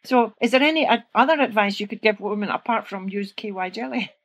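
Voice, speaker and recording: A woman's voice: medium pitch, fast. Scottish woman hosting an interview; frank, chatty storyteller with easy laughter. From Scotland. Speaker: podcast host. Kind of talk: casual interview chat.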